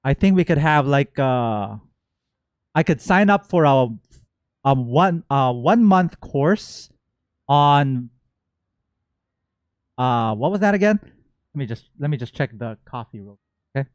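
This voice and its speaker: A man hosting a game stream, chatting casually and playfully, given to excited exclamations and rambling asides. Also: medium pitch, slow, long pauses, no echo. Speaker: streamer and host. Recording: music playing behind the voice. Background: game music.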